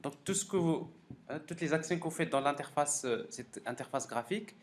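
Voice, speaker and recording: A man giving a live technical software lecture as a professor, halting and repeating words as he thinks aloud. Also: a little high, animated. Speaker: university professor teaching a class. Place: classroom during a live course session. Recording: clearly noisy classroom recording.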